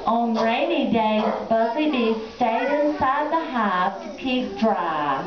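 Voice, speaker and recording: A woman's voice: on the high side, animated, slow pace. A woman narrating a scripted children's story as the storyteller. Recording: echoey room, muffled, crowd sounds behind.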